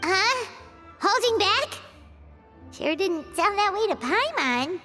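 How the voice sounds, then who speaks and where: A woman's voice: very high voice, very animated, slow pace, long reverb tail, loud music behind the voice, full character voice. A woman voicing a small, curious and cheeky childlike fairy companion in a video game, full of exclamations and questions. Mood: puzzled. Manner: exclaims and questions, refers to self in third person. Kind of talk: animated video game dialogue.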